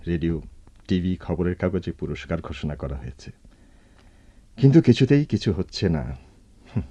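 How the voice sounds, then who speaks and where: A deep, emphatic, exasperated hospital doctor. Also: male voice, dark tone, dry recording. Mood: matter-of-fact. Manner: emphatic, theatrical explaining. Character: busy, blunt male hospital doctor, easily exasperated. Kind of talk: Bengali radio drama acting. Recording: dull, lo-fi radio drama recording.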